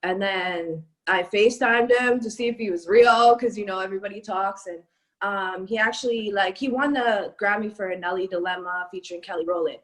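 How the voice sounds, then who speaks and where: A woman's voice: medium pitch, normal speed. A young woman from Canada, a rapper, chatting casually in an interview and filling her talk with "like" and "um". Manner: chatty, lots of "like" and "um". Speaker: rapper guest.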